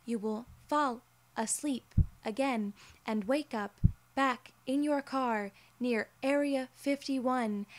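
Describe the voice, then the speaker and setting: A woman in her twenties performing an ASMR roleplay in a measured, deliberate and formally worded way, close to the mic. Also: fairly high pitch. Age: 20s. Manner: measured, deliberate, formal phrasing as an alien gatekeeper. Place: small indoor room, seated in an armchair.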